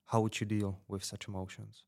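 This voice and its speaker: A man playing a calm underground radio host in a fiction podcast, moderating gently. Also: on the low side, brisk, creaky, dry recording, clean studio sound. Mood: calm, attentive.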